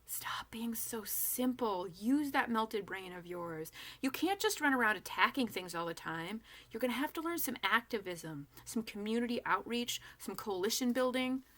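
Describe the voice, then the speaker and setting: A sneering young female zombie from a storybook, acted by a woman reader. Her voice is bright and cutting. She is bossy and impatient, with scorn in her phrasing. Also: a little high, animated, normal speed, hardly any pauses, voice pushed up. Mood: impatient, scornful.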